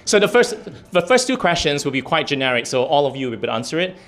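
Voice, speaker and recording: A man with a deep voice today, hosting an event in a hotel meeting room, chatty and quick to laugh. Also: very animated, a bit bright. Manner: chatty, laughs easily, runs the agenda. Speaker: event host.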